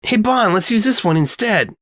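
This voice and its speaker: Man voicing a high, excitable teenage girl. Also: normal speed, dry recording. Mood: cheeky. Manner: excitable, blurting, high-voiced.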